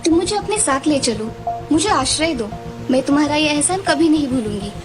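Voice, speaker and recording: Actress as pleading mermaid, high pitch, earnest and grateful, cartoon fairy tale, clear background music. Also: female voice, lively intonation, a little fast. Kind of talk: Hindi cartoon moral story dialogue.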